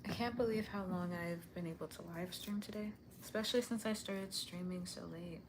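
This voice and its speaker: Soft-voiced young woman chatting on stream. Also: on the low side, fairly even intonation, a little fast, noisy background. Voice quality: soft, sweet-toned voice. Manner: chatty, reads out and answers viewer comments. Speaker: livestreamer. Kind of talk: casual livestream chat while animating.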